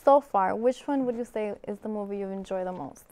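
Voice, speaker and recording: A woman's voice: mid-range voice, brisk, mellow. A woman interviewing an actor, asking short, direct questions.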